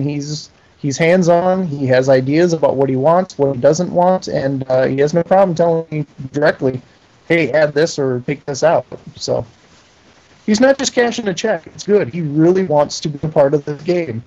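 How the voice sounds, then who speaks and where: A man being interviewed as a game maker, chatting casually and explaining details with pauses to think, over an internet call. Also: fairly high pitch, warm tone, highs cut off.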